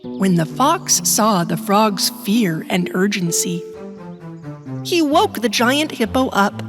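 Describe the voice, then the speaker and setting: A woman's voice: mid-range voice, lively intonation, slow pace. A woman storyteller reading a scripted children's bedtime tale. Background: music.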